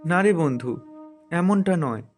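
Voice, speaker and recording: Cartoon lead: man as earnest, wistful young man, slightly high pitch, music underneath. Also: lively intonation, normal speed. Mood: friendly, explaining. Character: earnest, dreamy young man devoted to a letter. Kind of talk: Bengali cartoon moral story dubbing. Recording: music behind the voice.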